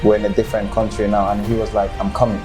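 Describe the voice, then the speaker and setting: A young man from northern Nigeria speaks in a lowish voice with a Nigerian accent. He tells his story earnestly and haltingly, with plenty of ums, and faint guitar music plays behind him. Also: completely flat delivery. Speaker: guest, football player. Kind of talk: personal story in an interview.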